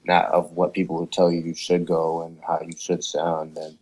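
A man from Chicago speaks with a deep, low voice. He talks in a casual, conversational podcast style. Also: monotone, moderate pace, darkish tone, low-quality recording. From Chicago, United States. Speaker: podcast guest, rapper. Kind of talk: casual chat.

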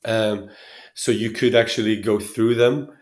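A man in his thirties from Sweden speaking reflectively as an interview guest, often pausing and restarting as he searches for words. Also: lowish voice, steady tone. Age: 30s.

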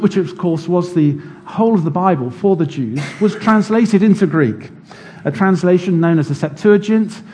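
A man delivers a sermon in a measured, explanatory way, walking his listeners through scripture step by step. His voice sits a little high for a man, with a warm, mellow tone. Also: a little hiss or hum. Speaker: preacher. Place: church.

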